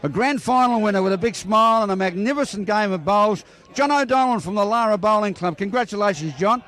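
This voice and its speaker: A man's voice: high voice, fairly quick, fairly bright tone. An Australian man interviewing bowlers outdoors after a match, chatty and full of congratulations. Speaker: sports reporter. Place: outdoor bowling green.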